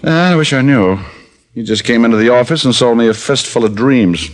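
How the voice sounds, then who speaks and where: An actor playing a wisecracking private detective in a 1950s radio drama, trading deadpan jokes. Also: male voice, medium pitch, a little fast, natural voice. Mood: wry. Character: wisecracking male private detective, dry and cocky.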